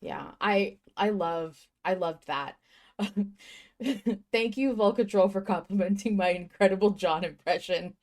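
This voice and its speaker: A woman's voice: medium pitch, a little slow, darkish tone. A woman hosting a casual fan podcast, talking in an emphatic, slangy way with plenty of swearing. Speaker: podcast host.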